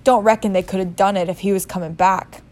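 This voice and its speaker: A woman voicing a huge, warm-hearted bearded male giant with rough, rural speech. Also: medium pitch, steady tone, fast talker, close to an everyday voice. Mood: confiding.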